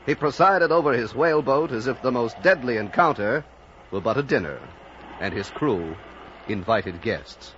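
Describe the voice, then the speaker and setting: An actor playing an earnest young sailor who narrates a whaling tale in measured, literary radio-drama style. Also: male voice, mid-range voice, animated, very noisy, close to an everyday voice. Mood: measured, dramatic. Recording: muffled vintage radio recording.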